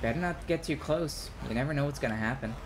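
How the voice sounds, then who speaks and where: A man's voice: medium pitch, quick pace, background noise, close to an everyday voice. A man voicing a wary street local in a visual novel, warning strangers about gangs in an emphatic way. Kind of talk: visual novel character reading.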